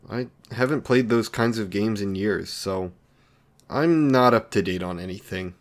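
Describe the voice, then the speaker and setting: A man playing an awkward, lonely, considerate villain in an intimate roleplay drama, speaking in a hesitant, apologetic, polite way. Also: lowish voice. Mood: tentative, curious.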